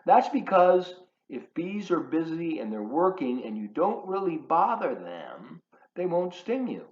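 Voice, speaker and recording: Animated, unhurried man reading to children. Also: fairly high pitch. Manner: expressive, emphatic, teacherly. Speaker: volunteer children's storybook reader. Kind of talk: read-aloud storytelling with chatty asides to children. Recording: roomy echo, slightly dull sound.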